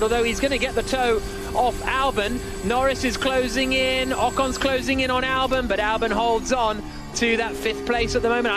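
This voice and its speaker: A man giving live motor racing commentary as the lead commentator, calling the action fluently and emphatically. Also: very high-pitched.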